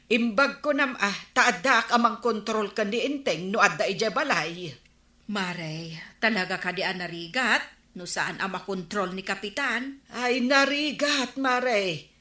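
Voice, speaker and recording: Woman playing anxious nagging villager, Ilocano accent, sharp bright tone, radio comedy-drama, echoey room. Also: mid-range voice. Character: anxious, nagging woman worried about the captain's temper. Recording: roomy echo, at times distant.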